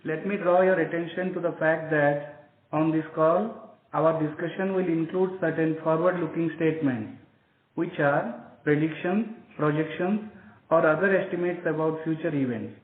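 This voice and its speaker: Over a tinny, echoing phone line, an Indian man reads a formal corporate script. His voice sits a little high for a man. He speaks as a company officer on an earnings call. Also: normal speed.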